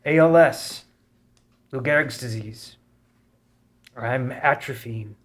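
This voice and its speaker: An actor playing a frail, ashamed out-of-work actor, who speaks haltingly in a table read. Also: male voice, medium pitch, close to an everyday voice. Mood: troubled. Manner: halting, hesitant.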